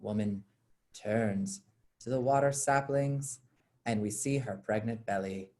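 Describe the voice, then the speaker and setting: A woman's voice: extremely low pitch, a little slow, warm tone. A woman reading stage directions as the narrator of a staged play, in scripted narration.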